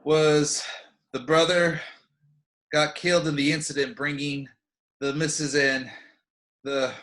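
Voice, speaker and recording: An actor as a folksy small-town sheriff in a Western radio drama. He takes his time over hesitant phrases, in a fairly high male voice. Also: level tone, slow, a bit bright, rough recording. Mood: explaining. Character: folksy, deliberate Old West town sheriff. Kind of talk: online radio play acting.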